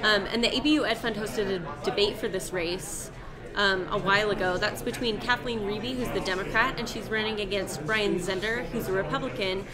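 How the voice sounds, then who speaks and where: A woman in her twenties co-hosting a live election night stream, explaining politics in a relaxed, chatty way. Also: mid-range voice, a little fast. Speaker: co-host. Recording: noisy room with crowd chatter.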